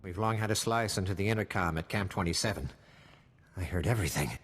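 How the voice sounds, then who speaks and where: An actor playing a solemn, idealistic male freedom fighter in a video game, with a husky, earnest voice. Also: sits low, animated, fast, a bit bright, long reverb tail, full character voice. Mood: solemn resolve.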